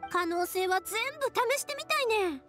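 A woman's voice: extremely high pitch, lively intonation, normal speed, a bit bright. A woman voicing a cheerful, eager, friendly young woman in an anime-style game, with a Kansai accent. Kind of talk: Japanese video game anime voice acting. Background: background music.

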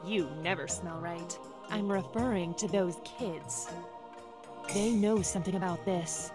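A woman voicing a stern, haughty warrior in a video game, cutting and deliberate. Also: fairly low pitch, music in the background, lightly acted.

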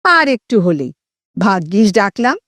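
A woman's voice: mid-range voice, big pitch swings, measured pace, fairly bright tone, no room sound, clean studio sound, pitched below the performer's own voice. A woman voicing a wary, reluctant male friend in an audio story, conversational and questioning, teasing but timid.

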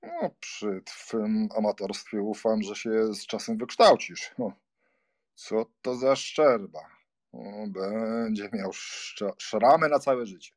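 A man as an unhurried, teasing young baron. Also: on the low side, expressive, no room sound, clean studio sound. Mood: amused. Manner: teasing, nonchalant. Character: teasing, nonchalant young baron, the count's jaunty friend. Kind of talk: audiobook drama acting.

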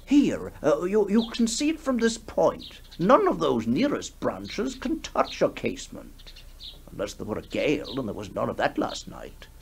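A man's voice: on the high side, huge pitch swings, moderate pace, creaky, full character voice. Man playing a hesitant, courteous bishop. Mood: reasoning, hesitant. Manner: hesitant, polite, formal. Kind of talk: 1960s radio horror story acting. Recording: noisy 1960s radio broadcast.

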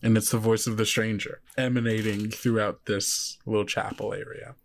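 A man running a tabletop role-play game as game master, narrating and chatting about rules in an informal, halting way. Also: lowish voice, little pitch movement, gravelly, a little hiss or hum.

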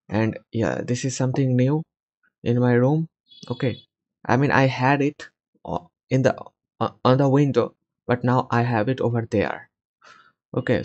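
A man in his twenties hosting a casual conversation podcast over a video call, talking from a small room and often pausing to find his words. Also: medium pitch, darkish tone. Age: 20s. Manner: hesitant, searching for words. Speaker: podcast host. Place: small home room, indoors. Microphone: headset.

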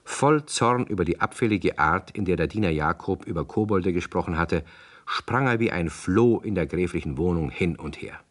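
A man's voice: low voice, expressive, normal speed. A man narrating a 1960s German radio play as a scripted storyteller.

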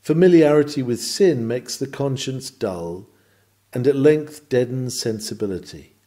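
Male preacher-style reader, soft dark tone, medium pitch, solemn oratory, emphatic phrasing. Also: animated, measured pace. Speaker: reader of a historical sermon. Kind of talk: sermon, read from a script with oratorical delivery.

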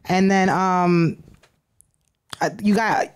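A man's voice: sits high, steady tone, slow, clean tone. A man giving blunt, slangy advice to a comic in a club, talking into a handheld mic. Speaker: comedian judge. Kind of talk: casual feedback. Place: comedy club.

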